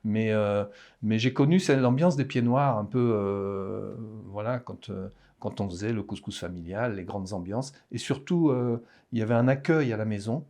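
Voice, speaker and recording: A man in his sixties with a Parisian French accent, an author talking about his writing in a hesitant, halting way in a casual interview. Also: fairly low pitch, warm tone. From France. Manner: hesitant, halting, many restarts.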